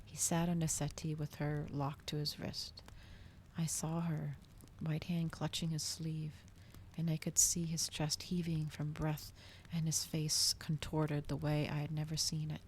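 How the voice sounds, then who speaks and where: Hushed low-voiced woman reading ASMR. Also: monotone, measured pace, slight background noise. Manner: hushed, often slipping into whispers. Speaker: ASMR streamer reading a novel aloud.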